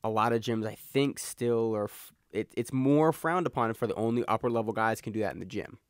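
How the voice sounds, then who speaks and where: A man's voice: fairly low pitch, fairly quick, smooth, no room sound. A man co-hosting a podcast in casual chat, joking and talking over his co-host, recorded on a close mic.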